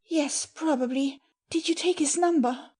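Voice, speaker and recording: A woman's voice: high voice, measured pace, darkish tone. A woman voicing a yearning, anxious young wife in a dramatic audiobook story. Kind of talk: dramatic audiobook character reading.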